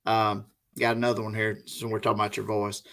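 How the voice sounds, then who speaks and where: A middle-aged man from the Deep South with a Southern US accent, hosting a casual interview in an informal, folksy way. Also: monotone, fairly quick, gravelly, fairly bright tone. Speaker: host, interviewer.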